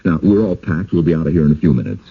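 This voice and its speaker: An actor playing a blunt, impatient, sardonic husband who believes none of it, in a radio drama. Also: male voice, a bass voice, racing, gravelly. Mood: firm, dismissive. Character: skeptical, blunt, impatient husband, ordinary city man. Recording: dull old radio recording.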